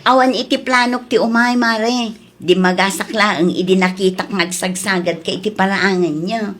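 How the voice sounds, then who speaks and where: A woman's mid-pitched voice with an Ilocano accent acting out a village neighbour. She comes across as modest and polite, in audio comedy-drama style. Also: fairly even intonation, moderate pace, fairly bright tone, close to an everyday voice. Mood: polite, reluctant.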